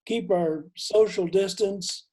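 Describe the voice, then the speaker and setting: Man, video call, halting, restarts, mellow, slightly high. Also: low-quality recording. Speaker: photographer. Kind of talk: casual discussion on a video call.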